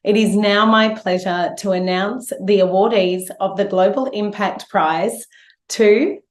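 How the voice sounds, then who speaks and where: A woman reads award announcements in a polished, upbeat announcer style. Her voice sits mid-range and carries a roomy echo. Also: medium pitch. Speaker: awards presenter. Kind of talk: scripted announcing.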